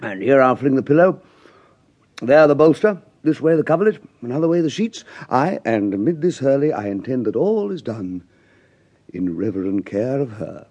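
An actor playing a blustering, tyrannical husband in a classic radio Shakespeare comedy, theatrical, swinging between roaring and mock-sweet coaxing. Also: male voice, medium pitch, sing-song, normal speed, a little mellow, dull audio. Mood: sly, scheming. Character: blustering, tyrannical husband, loud master taming his bride.

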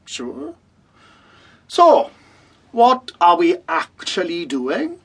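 An actress playing a dry, patient, gently sarcastic amateur drama member in a comic radio play, with a slightly husky voice. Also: female voice, lowish voice, sing-song, drawn-out words, heavily acted. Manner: dry, understated, sardonic.

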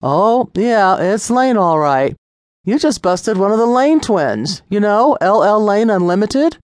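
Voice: medium pitch, sing-song, measured pace, dry recording, clean studio sound, voice pushed up. A reader voicing a casual male backup police officer pointing something out to his partner in a storybook reading. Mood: knowing.

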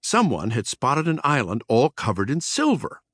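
A man voicing a brash, mischievous mouse cousin in a children's storybook, squeaky and giggly, with cocky, teasing delivery. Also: wildly swooping pitch, normal speed, fairly bright tone, dry recording, clean studio sound. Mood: eager, scheming. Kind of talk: acted children's storybook dialogue.

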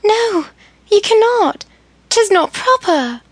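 A woman voicing Emily, an anxious, outspoken young woman, in a soft, breathless, higher voice while reading a story. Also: measured pace, slightly rough, no echo. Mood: alarmed.

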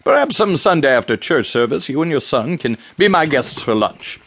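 A frontier preacher in a western radio drama. His speech is formal and courteous, swinging widely in pitch as he gushes politely and pries with great curiosity. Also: big pitch swings, normal speed, a bit bright, a little hiss or hum. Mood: genial, gushing. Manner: courteous, gushing, formal, inquisitive. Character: genial, nosy, courteous frontier preacher, a newcomer.

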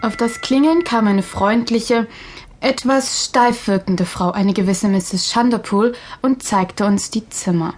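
A woman's voice: fairly high pitch, measured pace, a little mellow, slight background noise, natural voice. A woman playing a breezy, bossy young girl in a children's audio drama, talking in a colloquial way. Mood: chatty. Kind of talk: German children's audio drama acting and narration.

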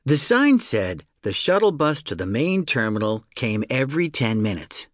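A man narrating a children's story in the first person, in an expressive, conversational storytelling manner. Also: medium pitch, moderate pace, dry recording, studio quality. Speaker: audiobook narrator.

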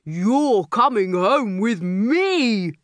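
A woman voicing a massive, greedy bully of a bear in a storybook, gruff and domineering. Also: medium pitch, lively intonation, drawn-out words, no room sound. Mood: bossy, menacing.

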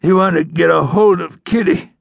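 Western audiobook: wounded outlaw, defiant and sneering, gravelly strain, high-pitched, words dragged out. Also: male voice, dry recording. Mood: suggestive, spiteful. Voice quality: strained, slurred, groaning. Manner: drawn-out, sneering, contemptuous. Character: dying wounded Old West outlaw, bitter and defiant. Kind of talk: western audiobook character acting.

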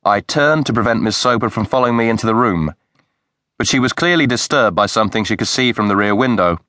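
A man narrating a mystery audiobook in a measured, even way, reading from a script into a close studio mic. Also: lowish voice, no echo.

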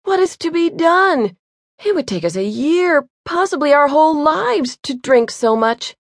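A woman's voice: high-pitched, very animated, a little slow, dry recording, clean studio sound, voice pushed up, full character voice. A woman voicing a poor, anxious simpleton young man, wailing in despair.

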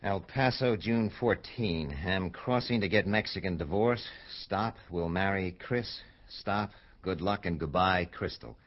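An actor playing a hard-boiled, wisecracking American detective, laconic and dry, in dialogue and noir narration for a radio drama. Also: male voice, deep voice, measured pace, lo-fi sound. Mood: dry, probing.

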